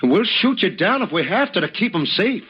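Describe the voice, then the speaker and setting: A man's voice: high-pitched, very animated, fairly quick, breathy edge, full character voice. An actor playing a wary, threatening frontier townsman with a folksy, firm manner in an old-time radio western. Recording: dull, lo-fi old radio recording.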